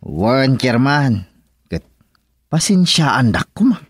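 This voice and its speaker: A man's voice: mid-range voice, sing-song, slow, breathy edge, no room sound, clean studio sound, full character voice. A man playing a sheepish village councilor, hesitant and apologetic, in a rural radio comedy-drama. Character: sheepish village councilor making excuses to his superior.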